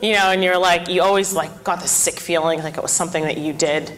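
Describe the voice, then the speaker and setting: A young woman in her twenties performing a self-deprecating stand-up comedy set on a club stage, with deadpan punchlines and chatty asides to the crowd. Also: fairly low pitch, fast, poor audio. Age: 20s.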